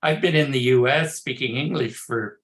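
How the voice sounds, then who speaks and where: Older male academic, bright gravelly voice, easygoing talk over a video call. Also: mid-range voice, moderate pace. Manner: relaxed, chatty, laughs easily. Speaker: professor, guest speaker. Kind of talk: casual chat before a talk.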